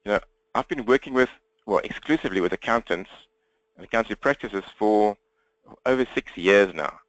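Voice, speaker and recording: A man from South Africa with a South African accent and a slightly croaky throat, presenting an interactive webinar training while standing at his computer, fast, direct and upbeat. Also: lowish voice, no room sound, highs cut off.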